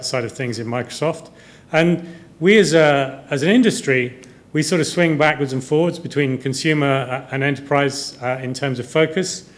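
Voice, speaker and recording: A man in his fifties from Kuwait giving a conference presentation to developers in a hesitant, rambling way, often repeating words. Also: a little high. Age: 50s. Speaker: conference speaker, software company director. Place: hotel conference room, indoors.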